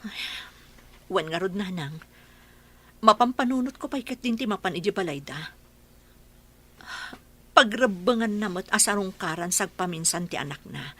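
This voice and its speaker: A woman voicing a worried mother raising her daughter on her own, with a husky voice. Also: mid-range voice, lively intonation, normal speed, pauses often, fairly bright tone. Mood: troubled.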